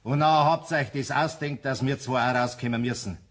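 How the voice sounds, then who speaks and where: A man's voice: mid-range voice, fairly quick, natural voice. An actor playing a sceptical, grumbling pub regular in a children's radio play, pressing his point with a Bavarian accent.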